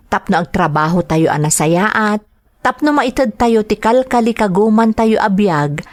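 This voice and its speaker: A woman playing a gentle, homesick mother working abroad, acting in a sentimental radio drama with a Filipino accent, tender and emotional. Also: fairly low pitch, no echo. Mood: heartfelt, grateful.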